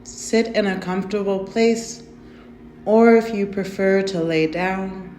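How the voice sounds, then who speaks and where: A woman leading a guided meditation in a gentle, soothing, unhurried way, softly inviting the listener to breathe. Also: medium pitch, little pitch movement. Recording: roomy echo with faint music.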